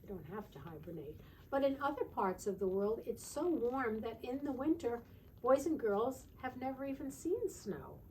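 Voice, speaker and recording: Woman, children's storytime teacher. Soft-edged mellow tone, sing-song read-aloud with little questions to the listeners, slight background noise. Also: fairly high pitch, expressive, normal speed, poor audio.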